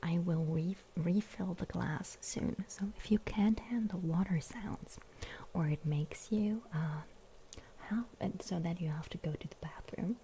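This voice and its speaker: A woman streaming ASMR, chatting casually to viewers in a soft, breathy whisper close to a sensitive microphone. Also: lowish voice, a little slow, a little hiss or hum.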